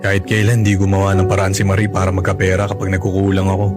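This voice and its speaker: A man's voice: low-pitched, completely flat delivery, quick pace, over music, close to an everyday voice. Humble, pleading Filipino man played by an actor. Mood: resigned, tender. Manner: pleading, self-effacing. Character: cash-strapped, apologetic, devoted young father and lover. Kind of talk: radio drama acting.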